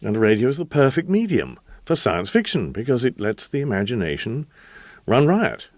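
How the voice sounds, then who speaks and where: A man's voice: medium pitch, very animated, moderate pace, a little mellow, dry recording, clean studio sound. A man giving his opinions and memories in an interview.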